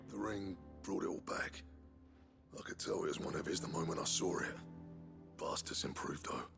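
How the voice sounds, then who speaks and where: An actor playing a gruff, proud master blacksmith who grumbles and swears bluntly in a video game. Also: male voice, low-pitched, moderate pace, music in the background. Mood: grudging, bitter. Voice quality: gravelly. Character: gruff, proud, foul-mouthed village-born master blacksmith.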